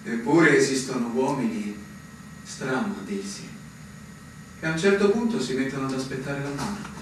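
A man's voice: medium pitch, expressive, normal speed, natural voice. A male actor playing a brooding, fatalistic fortress officer in a poetic radio drama, ominous and declamatory in delivery. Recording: very echoey, distant stage recording under music.

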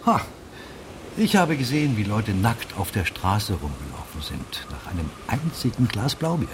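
An actor playing a defiant, resentful village official in a German radio play, speaking bluntly and with foreboding. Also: male voice, fairly low pitch, big pitch swings, moderate pace, noisy background. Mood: ominous warning.

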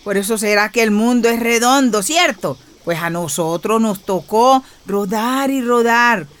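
A woman's voice: a little high, animated, measured pace. An actress playing a resolute, devout peasant wife and mother in a radio drama, folksy and firm, with a Colombian accent. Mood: philosophical. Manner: folksy, plain-spoken, firm.

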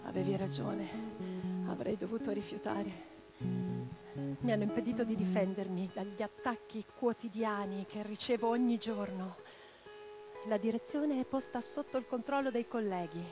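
A woman's voice: mid-range voice, normal speed, natural voice. Actress as an impassioned revolutionary woman over guitar. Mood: subdued, wounded. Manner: declamatory, intense. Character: impassioned revolutionary woman orator and letter-writer. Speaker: stage actress in a radio play. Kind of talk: theatrical dramatic monologue. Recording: guitar music behind the voice. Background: plucked guitar music.

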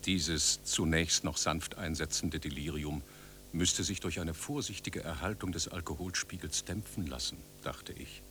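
An actor playing an agitated, tipsy doctor in a radio drama, recounting an emergency precisely and forcefully. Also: male voice, extremely low pitch, a little hiss or hum. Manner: forceful, precise, erupting into shouts. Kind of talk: radio drama narration in character.

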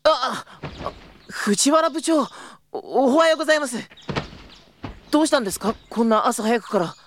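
An actor playing an earnest, easily flustered young junior travel agent in a Japanese audio drama, polite and prone to stammering. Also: male voice, very high-pitched, sing-song, moderate pace, a bit bright, a little hiss or hum, heavily acted. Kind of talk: Japanese audio drama acting with intimate narration.

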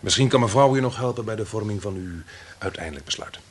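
An actor playing a coolly menacing, polite blackmailer in an old Dutch radio play with faint noise. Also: male voice, fairly low pitch, animated, fast talker, lightly acted. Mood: cool, controlling. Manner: suave, commanding, precise.

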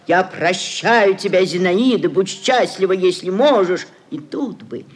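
A woman's voice: lowish voice, lively intonation, a little slow, husky. An actress playing a scheming, sugary middle-aged society mother in a classic radio play, cajoling and declaiming theatrically. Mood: gushing, coaxing. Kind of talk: classic Russian literary radio play acting.

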